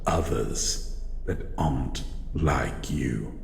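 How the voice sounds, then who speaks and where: A man voicing a grumpy, wary shadow monster in an audio roleplay, speaking in a halting, brooding way as it guards its old house. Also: rumbling low, creaky, full character voice. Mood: resentful, grudging. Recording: echoey hall reverb, faint background noise.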